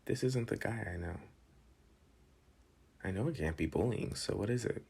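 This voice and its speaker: Low husky man acting as a protective teenage delinquent. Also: lively intonation, moderate pace, exaggerated voice. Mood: earnest. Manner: casual slangy teasing with soft sighs. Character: protective teenage school delinquent, gruff but soft-hearted, teasing. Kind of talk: intimate ASMR boyfriend roleplay.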